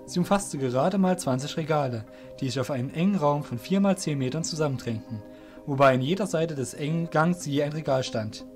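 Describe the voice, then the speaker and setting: Higher-pitched man narrating a story. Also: brisk, faint music behind.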